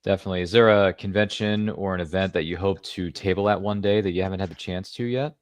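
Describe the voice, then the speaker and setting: A man's voice: deep voice, steady tone, fast talker. A man hosting a podcast interview, chatting casually and jokily with playful asides. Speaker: podcast host.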